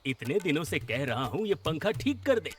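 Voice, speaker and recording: A blustering, miserly cartoon boss, acted by a man, who scolds and sneers. His voice sits fairly high and leaps around with sarcasm, over faint music. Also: very animated, normal speed. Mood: irritable, bossy. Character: grumpy, miserly, bullying dhaba boss. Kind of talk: exaggerated comic cartoon acting.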